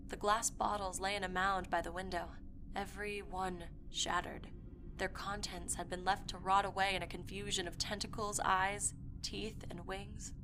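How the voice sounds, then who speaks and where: A woman's voice: mid-range voice, close to an everyday voice, low-quality recording. Woman acting haunted young woman over prominent music. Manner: dramatic, intense storytelling. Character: haunted, obsessive young woman recounting her dark past. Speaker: performer reading a dramatic monologue. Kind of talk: first-person audio fiction monologue.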